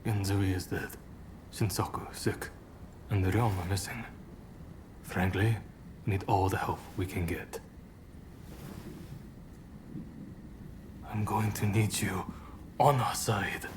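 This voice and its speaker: An actor playing a serious, shrewd and wary rebel leader in a video game, speaking gravely and deliberately. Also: male voice, low-pitched, steady tone, noisy background. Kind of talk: dramatic video game cutscene acting.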